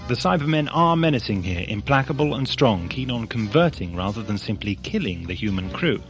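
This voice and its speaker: A man's voice: medium pitch, big pitch swings, fairly quick, soft background music. A man reading scripted letters aloud on a magazine programme. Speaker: reader of listeners' letters.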